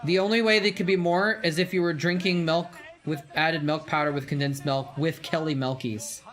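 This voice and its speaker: A slightly high-voiced man hosting a live stream in a loose, rambling way. He chats to viewers between moments of the game, gets worked up when the action turns, and laughs easily. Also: fairly even intonation, normal speed, a bit bright, faint music behind. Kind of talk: casual live-stream commentary and chat.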